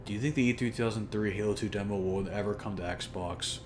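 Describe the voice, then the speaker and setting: Deep-voiced tired-sounding male streamer. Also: fast talker, noisy background. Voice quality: deep, low voice. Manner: mumbles to himself, sounds tired and flat. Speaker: modding streamer. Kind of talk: casual live-stream chat while modding. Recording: music playing behind.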